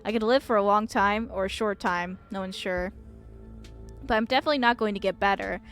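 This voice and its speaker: A woman voicing a sickly, gloomy young male painter in a game, soft-spoken and resigned, speaking matter-of-factly about death. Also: medium pitch, brisk, faint music behind, lightly acted. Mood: morbid, calm.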